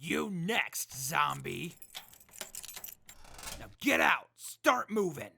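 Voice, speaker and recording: Creaky, sneering raider thug. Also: male voice, on the high side, very animated, drawn-out words, bright, forceful tone, slight background noise, exaggerated voice. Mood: menacing, taunting. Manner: taunting, barking. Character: sneering, brutish male raider henchman. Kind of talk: post-apocalyptic audio drama acting.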